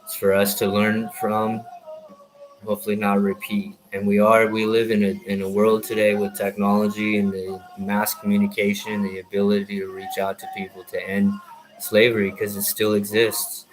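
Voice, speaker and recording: A man's voice: lowish voice, monotone, moderate pace, rough recording. A man in his thirties, a military veteran, rants through a rambling, heated monologue full of swearing and false starts. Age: 30s. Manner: heated, profane, many restarts.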